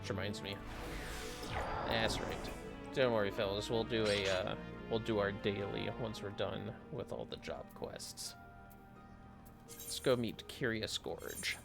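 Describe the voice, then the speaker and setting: Man, game streamer, low gravelly voice, casual joking chatter, music behind. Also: unhurried, pauses often. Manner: chatty, joking asides to viewers. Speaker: livestreamer playing an online fantasy RPG. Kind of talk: casual gameplay commentary.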